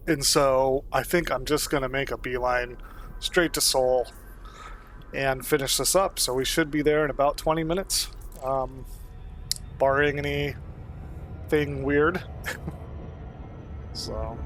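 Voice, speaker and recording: A man getting over a cold talks through a space game on a live stream. He rambles in a relaxed way, laughing now and then and filling gaps with ums. A faint hiss sits behind his desk mic. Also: fairly high pitch. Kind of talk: casual live-stream commentary.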